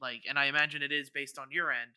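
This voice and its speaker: A man's voice: mid-range voice, little pitch movement, fast talker, sharp tone, clean tone, no room sound. A man co-hosting a podcast in casual chat, thinking aloud with hesitant starts and repeated words. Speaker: podcast co-host. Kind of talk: casual chat, debating video game movies.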